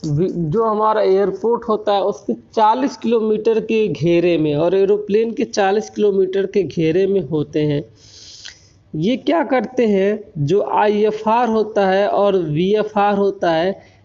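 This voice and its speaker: An Indian man giving an explanatory technical lecture, often pausing to check that listeners follow. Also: high voice, darkish tone. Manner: frequent check-in tags while explaining. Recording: dull lo-fi recording.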